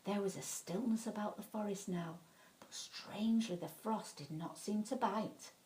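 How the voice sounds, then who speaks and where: A woman reads a bedtime story for children. Her voice sits at a medium pitch. She tells it expressively, stressing key words for drama. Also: moderate pace, warm tone, poor audio.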